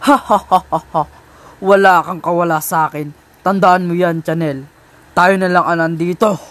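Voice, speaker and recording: Young man acting a high-voiced, vengeful killer. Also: steady tone, a bit bright. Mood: menacing, taunting. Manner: taunting, drawn-out threats. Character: vengeful young male killer, menacing, taunting, merciless. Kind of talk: amateur student radio drama acting. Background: dramatic background music.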